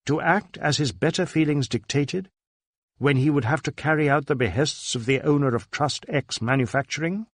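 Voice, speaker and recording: A man's voice: on the high side, moderate pace, no echo, clean studio sound. A man reading a crime novel as an audiobook narrator, in scripted storytelling prose.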